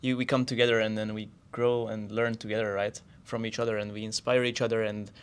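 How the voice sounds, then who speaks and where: Guy, smooth resonant voice, upbeat open podcast chat. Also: fairly low pitch, little pitch movement, brisk. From Hungary. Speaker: podcast co-host. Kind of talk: casual chat.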